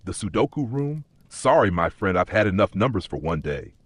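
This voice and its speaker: A man playing a weary, doubtful corporate worker in a dark fantasy audio story, plain-spoken and skeptical. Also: on the low side, big pitch swings, normal speed, husky, dry recording.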